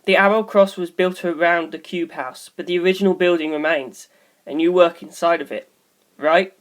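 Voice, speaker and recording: A woman's voice: lowish voice, fairly even intonation, normal speed. A woman reading scripted story narration as an audiobook narrator.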